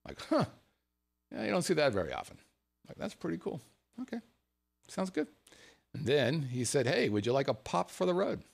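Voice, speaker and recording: A man from the United States, a show host, telling a personal anecdote in a casual, animated way and chuckling as he goes. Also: fairly high pitch, moderate pace, vocal fry, pauses often. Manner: animated storyteller, chuckles through his words.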